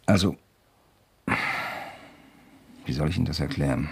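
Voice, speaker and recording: An actor playing a persistent, slyly courteous investigator in a German radio crime drama, questioning insistently and deliberately. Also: male voice, very deep voice, gravelly, a little mellow, slightly rough, full character voice. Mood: mysterious, coaxing. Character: persistent amateur investigator, male, probing with sly courtesy.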